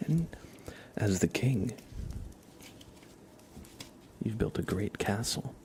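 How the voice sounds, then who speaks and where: A man hosting an ASMR livestream in a soft, hushed voice that often nears a whisper, chatting and reading in a laid-back, gently joking way with halting pauses. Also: on the low side, animated, a little hiss or hum. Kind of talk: ASMR, casual chat and tarot reading for viewers.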